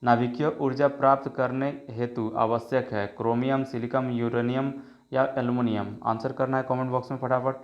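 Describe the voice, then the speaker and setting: A man's voice: medium pitch, level tone, normal speed, lo-fi sound. A man with a sore throat teaching an exam prep lesson, reading out each multiple choice question and its options before giving the answer. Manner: reads options then gives the answer, many filler checks.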